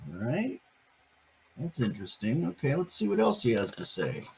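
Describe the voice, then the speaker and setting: A man's voice: mid-range voice, huge pitch swings, normal speed, noisy background. An older man, a grandfather, reading a picture book aloud on video to his grandkids, playful and chatty, asking them questions as he goes.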